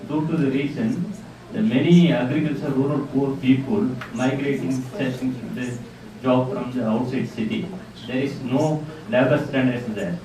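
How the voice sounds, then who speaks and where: A man's voice: mid-range voice, fairly even intonation, normal speed, a crowd in the background. Man from India, echoey distant recording. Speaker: workshop participant. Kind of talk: asking a question in a discussion. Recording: distant mic in an echoey room with background noise.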